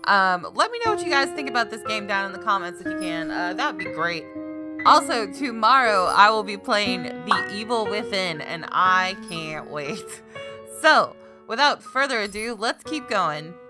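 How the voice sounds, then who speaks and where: A woman's voice: mid-range voice, expressive, normal speed. A woman hosting a let's play, reading visual novel narration dramatically with casual, informal asides. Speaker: gaming video host. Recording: loud game music behind the voice. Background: game soundtrack.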